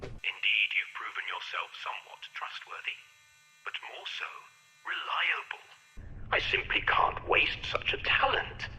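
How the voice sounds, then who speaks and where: An actor playing an eccentric, menacing hospital doctor in a video game, with a breathy voice and mockingly formal, wordy manner. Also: male voice, a little high, wildly swooping pitch, measured pace, pushed and bright, roomy sound, in a large hall, a little hiss or hum, heavily acted, low-quality recording. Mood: mocking, calculating. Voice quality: breathy, whispery. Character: eccentric, menacing, polite mad hospital doctor, male villain. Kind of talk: theatrical video game voice acting.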